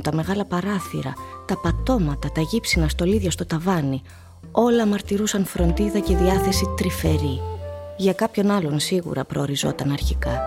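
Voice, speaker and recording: Low-voiced, measured woman narrator. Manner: measured, deliberate. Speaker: narrator of a radio play. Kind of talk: scripted literary narration.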